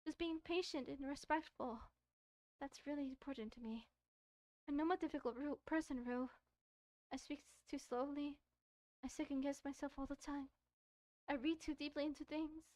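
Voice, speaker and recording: A woman's voice: sits high, normal speed, pauses often, darkish tone, no echo, lightly acted. A woman voicing a shy, bookish, self-doubting schoolgirl from a visual novel, hesitant and earnest.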